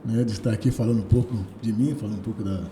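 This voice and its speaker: Quick, very mellow man in echoey room. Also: lowish voice, steady tone, slight background noise. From Bahia, Brazil. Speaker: guest singer. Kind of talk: casual interview chat.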